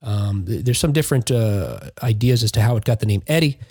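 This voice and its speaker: Husky male podcast host, dry close sound. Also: on the low side, expressive, a little fast, a little mellow, no room sound. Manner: rambling, hesitant, conversational. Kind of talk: casual news chat with co-hosts.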